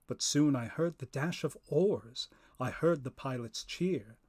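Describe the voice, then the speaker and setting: A man giving a dramatic reading of a narrative poem, solemn, theatrical and deliberate. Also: medium pitch, a little mellow. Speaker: poetry reader, professor.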